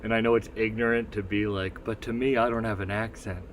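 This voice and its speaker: Rambling low-voiced streamer guy. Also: little pitch movement, brisk, a little hiss or hum. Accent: Northeastern US. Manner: rambling, profane, reads chat aloud. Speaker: livestream host. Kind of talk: casual solo livestream chat.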